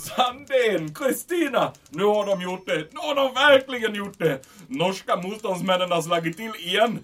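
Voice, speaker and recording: An actor playing an excitable, self-important German camp commandant, speaking Swedish with a German accent. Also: male voice, high voice, a little hiss or hum. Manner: pompous, excitable. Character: fussy German camp commandant, middle-aged man. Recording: distant from mic.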